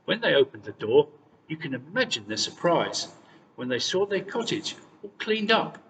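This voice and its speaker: A man reading a fairy tale aloud as scripted, expressive storytelling. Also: on the high side, moderate pace. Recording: distant mic, long reverb, faint noise.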